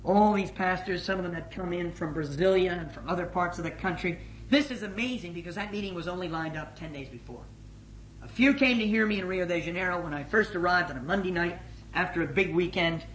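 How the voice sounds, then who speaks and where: Emphatic higher-voiced man in echoey room. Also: brisk. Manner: energetic, emphatic, swinging from loud to hushed. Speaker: missions leader addressing his organisation. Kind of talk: spoken report to a gathering, storytelling. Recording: echoey room with faint noise.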